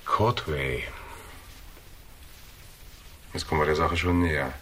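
A tough noir investigator in a radio crime drama, with an extremely deep voice. He is cool and defiant, delivering dry, laconic taunts. The recording carries clear hiss. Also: steady tone, takes it slowly, pushed and bright, full character voice. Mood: cool, taunting.

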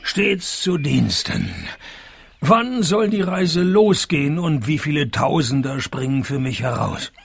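An actor with a husky, gravelly voice and a Swiss accent plays a cheeky, sardonic, money-minded private detective in a comic radio play. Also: male voice, on the high side, expressive, slow, slight background noise, exaggerated voice. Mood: sceptical. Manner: dry, sardonic. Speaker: lead character and narrator.

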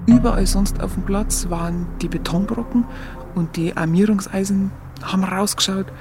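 An actress playing an earthy woman, recalling wartime Munich in halting, colloquial radio play speech. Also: medium pitch, steady tone, background noise, close to an everyday voice. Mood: awed. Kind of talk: naturalistic radio play reminiscence.

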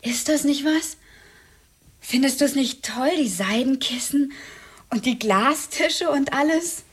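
A woman's voice: high-pitched, a little slow. An actress playing a naive, whiny young woman, a killer's childlike girlfriend, in a German radio drama. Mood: earnest, chiding.

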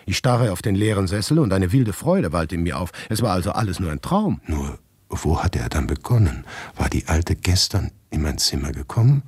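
A man performing a first-person literary monologue for a radio play, intense and shifting between hushed and agitated delivery. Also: low voice, sing-song, moderate pace, slightly rough, dry recording.

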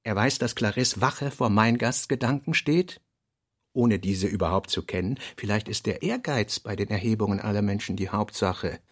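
A man reading literary notes and prose for a radio play, in deliberate, clipped phrases with pauses between them. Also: on the low side.

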